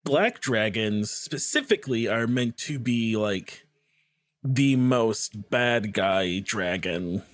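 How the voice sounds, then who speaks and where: A guest on a podcast talking casually about tabletop monsters, lively and quick to laugh, often cutting himself off to restart a thought. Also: male voice, fairly low pitch, dry recording. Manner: animated, laughing, self-interrupting. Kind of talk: casual chat.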